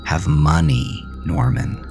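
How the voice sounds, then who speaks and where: A man voicing an uncanny dead mother in a horror audio drama, soft-spoken, sweetly nagging and wistful. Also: rumbling low, takes it slowly, gravelly, over music, exaggerated voice. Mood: gently chiding. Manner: motherly, gently chiding.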